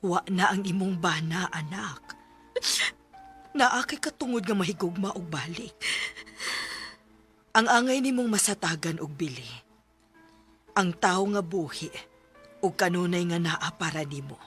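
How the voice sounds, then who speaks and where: A woman's voice: fairly low pitch, unhurried, long pauses, a bit bright, faint music behind. An actress with a Cebuano Filipino accent playing a wise, observant mother advising her grown daughter in a radio drama. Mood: gentle, advising.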